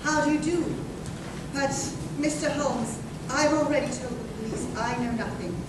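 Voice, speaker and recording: A woman's voice: high voice, normal speed, lightly acted. A woman playing a polite, defensive young lady in a live 1930s-style radio drama, with protesting courtesy. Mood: guarded. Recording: distant, echoey live stage recording, noisy room.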